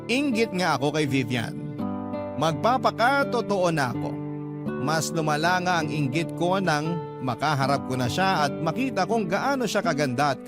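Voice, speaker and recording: A man with a Filipino accent reading a listener's confessional letter as a radio host, in measured, confiding dramatic narration. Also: on the high side. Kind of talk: dramatic first-person story narration. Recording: loud guitar music under the voice. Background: plucked guitar music.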